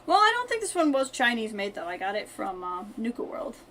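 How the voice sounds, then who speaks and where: A woman with a fairly high voice streams a game, chatting casually and thinking out loud. Faint game noise sits behind her. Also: big pitch swings, fast talker. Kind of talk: casual live gameplay commentary, chatting with viewers.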